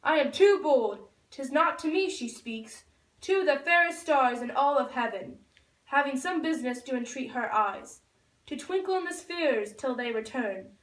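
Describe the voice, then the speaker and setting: A woman's voice: high-pitched, animated, unhurried, a bit bright. A woman voicing a lovestruck young Romeo puppet, reciting ardent Shakespearean verse from a script in an amateur school show. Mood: adoring. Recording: echoey room, far from the mic.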